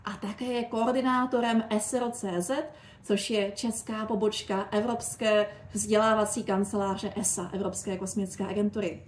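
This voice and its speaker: A woman's voice: medium pitch, steady tone. A woman hosting a podcast and putting questions to a guest in a conversational interview. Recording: echoey room with faint noise.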